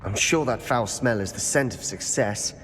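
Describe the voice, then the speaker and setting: Casting: a man as a loyal, scheming British schoolboy wizard for a fantasy game; lowish voice, earnest, conspiratorial delivery over music. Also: normal speed, a bit bright, lightly acted. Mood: eager, conspiratorial. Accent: British English. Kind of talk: video game voice acting.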